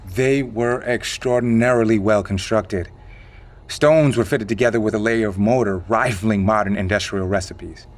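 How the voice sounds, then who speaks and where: An actor playing an evasive male insider who calmly explains a secret catastrophe in an audio drama, in a measured, matter-of-fact way. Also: on the low side, natural voice. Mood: expository, intrigued.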